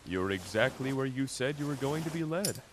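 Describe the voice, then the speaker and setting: A man plays a stern, businesslike male detective in an anime audio drama. His medium-pitched voice is dry and matter-of-fact, with a long-suffering edge. Faint hiss and rain-like noise sit behind him. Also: lively intonation, a little fast, lightly acted. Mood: dutiful, dry. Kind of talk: scripted anime roleplay ASMR audio drama.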